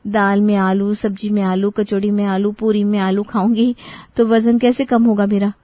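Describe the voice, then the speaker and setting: A woman playing a polite but exasperated young daughter-in-law in a dramatized story, expressive in the way she speaks. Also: mid-range voice, moderate pace, dry recording, lo-fi sound, lightly acted. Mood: protesting politely. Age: young adult.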